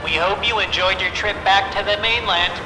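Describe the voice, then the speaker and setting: A man plays a courteous transit announcer with a high, lilting voice. The scripted message rings with heavy echo and long reverb, with music behind it. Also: moderate pace, natural voice. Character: polite recorded ferry announcer.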